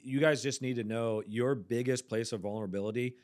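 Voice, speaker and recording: A man in his forties hosting a church podcast, chatting casually with quick asides and easy laughs. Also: mid-range voice, a little mellow. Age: 40s. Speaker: podcast host, pastor. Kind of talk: casual chat.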